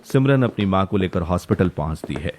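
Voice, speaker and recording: A man's voice: sits low, lively intonation, a little fast, darkish tone. A man delivering scripted dramatic narration for a story.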